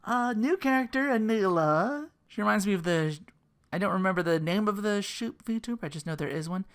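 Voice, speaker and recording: Rambly gaming podcaster, really lively pitch swings, swears casually, does little reaction bits. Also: brisk. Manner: rambling, animated asides, profane, acts out reactions. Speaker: podcast host. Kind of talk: casual solo gaming commentary.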